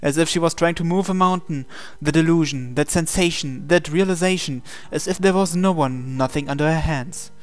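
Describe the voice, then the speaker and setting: A man reading scripted, dramatic story narration in a measured, solemn way. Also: on the high side. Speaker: narrator reading translated game text. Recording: music behind the voice. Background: music.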